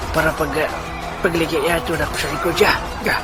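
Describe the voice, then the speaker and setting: A man's voice: on the high side, steady tone, fast talker, natural voice. A man playing a taunting warrior chief in a comedic audio drama. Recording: loud music drowning the voice.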